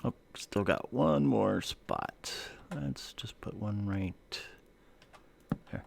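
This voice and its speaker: A man hosting a live game stream, chatting casually and narrating his moves in a relaxed, self-mocking way. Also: lowish voice, very slow, creaky. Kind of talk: casual live-stream chat while playing.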